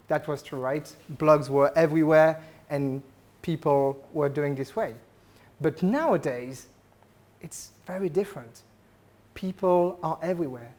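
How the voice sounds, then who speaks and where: A man's voice: a little high, a little mellow. French-accented man giving a business talk. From France. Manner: engaging, polls the audience with questions; conversational, frequent "uh" and "right?". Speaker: speaker at a business event. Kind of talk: conference talk to a live audience. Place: event venue with an audience.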